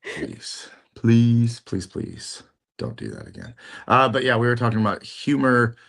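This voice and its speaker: A middle-aged man co-hosting a podcast in casual chat, talking in halting bits with lots of fillers and restarts. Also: medium pitch, wildly swooping pitch, vocal fry, fairly bright tone. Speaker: podcast co-host.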